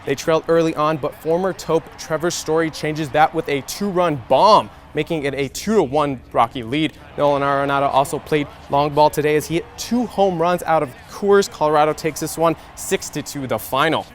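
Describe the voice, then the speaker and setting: A young American man in his twenties anchoring a scripted TV sportscast with game highlights. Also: slight background noise. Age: 20s.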